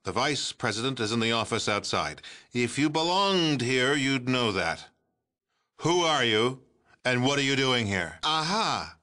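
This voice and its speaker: An actor playing a pompous, deluded older American President in a video game, with a blustering, theatrical politician's delivery. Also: male voice, mid-range voice, expressive, unhurried, sharp tone. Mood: indignant, then flustered, placating. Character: pompous, deluded older male President of post-war United States. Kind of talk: acted video game character dialogue.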